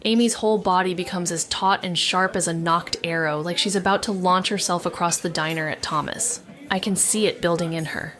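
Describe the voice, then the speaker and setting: A woman's voice: lowish voice, fairly even intonation, fairly quick, a bit bright, close to an everyday voice. A young woman playing a cautious, anxious former child detective who narrates a noir audio drama. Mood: tense, brooding. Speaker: narrator and lead actor.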